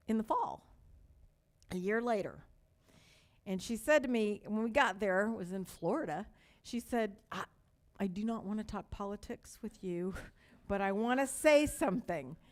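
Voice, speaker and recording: An older woman with a light Texas accent delivers a sermon from the pulpit. Her voice sits in a middle register, and she leans on slow, emphatic repetition before easing into conversational stories. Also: medium pitch. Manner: slow, emphatic repetition with warm storytelling asides. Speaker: pastor.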